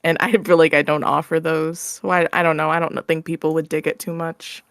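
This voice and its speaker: A woman's voice: deep voice, little pitch movement, brisk, no echo, studio quality. A woman chatting casually as an interview guest, informal and self-deprecating. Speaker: interview guest, audio roleplay creator. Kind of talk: casual chat.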